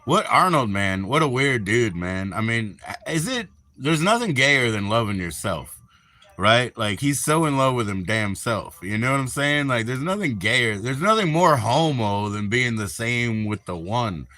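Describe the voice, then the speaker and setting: A man's voice: mid-range voice, lively intonation, unhurried, sharp tone. A man from Minnesota hosting a live stream, chatting casually in a crude, joking, rambling way. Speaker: livestream host.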